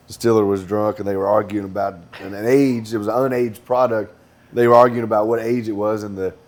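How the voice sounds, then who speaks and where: Deep-voiced distiller, laid-back panel talk, feeling his way through each point. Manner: relaxed, thinking aloud with restarts.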